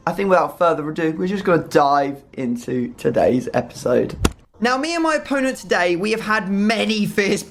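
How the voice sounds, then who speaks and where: Hoarse man, excitable gaming host. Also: sits high. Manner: excitable, groaning, laughing in disbelief. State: hoarse, voice strained. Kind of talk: casual gaming commentary.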